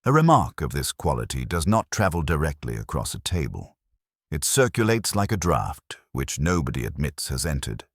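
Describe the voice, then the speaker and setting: A man's voice: very deep voice, very animated, moderate pace, no echo, clean studio sound. A man reading literary mystery fiction in a formal, dryly ironic manner. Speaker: narrator and reader. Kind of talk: scripted literary mystery narration.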